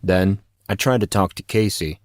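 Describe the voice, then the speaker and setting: A man's voice: deep voice, normal speed, no echo, clean studio sound, natural voice. A man playing a weary, loyal teenage boy in an audio drama, talking in a casual but serious way.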